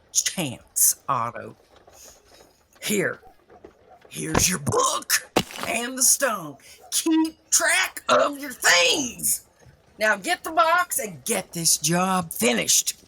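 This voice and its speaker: A woman playing a bossy, exasperated chief of underworld soul trackers in an audio drama, authoritative and scolding in a deliberate way. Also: fairly low pitch, vocal fry, pushed and bright, a little hiss or hum, exaggerated voice. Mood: stern, scolding. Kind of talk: scripted audio drama acting.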